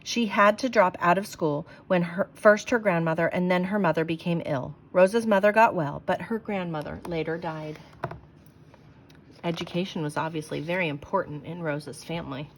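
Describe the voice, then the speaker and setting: A woman reading a children's book aloud in a clear, expressive storytelling manner. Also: on the low side, moderate pace, a little hiss or hum.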